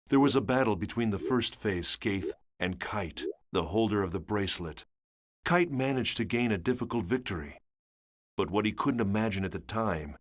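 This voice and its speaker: An actor playing a grave, weary chronicler, giving scripted dramatic narration for a game saga. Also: male voice, sits low.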